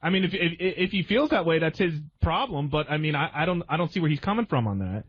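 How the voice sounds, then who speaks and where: Animated, husky, high-voiced man arguing. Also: quick pace, dull audio. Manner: opinionated, emphatic, repeats his points. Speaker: radio show panelist. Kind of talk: casual radio roundtable debate.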